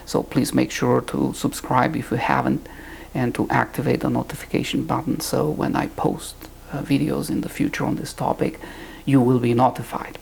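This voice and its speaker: Gravelly man teaching. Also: mid-range voice, flat delivery, normal speed, darkish tone, slight background noise. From Romania. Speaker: Bible teacher. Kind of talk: recorded teaching talk to camera.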